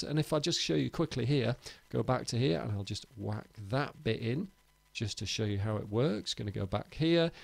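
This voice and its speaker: A man's voice: medium pitch, gravelly, dry recording. A man giving an informal screencast tutorial in a chirpy, emphatic, chatty presenter's manner. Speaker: podcast host giving a tutorial.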